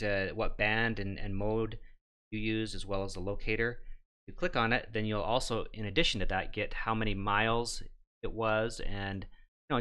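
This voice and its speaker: A middle-aged man hosting a how-to show, walking through steps in a plain, instructional and somewhat halting way. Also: fairly low pitch, a bit bright, smooth. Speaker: host of a ham radio how-to show. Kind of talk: step-by-step tutorial narration.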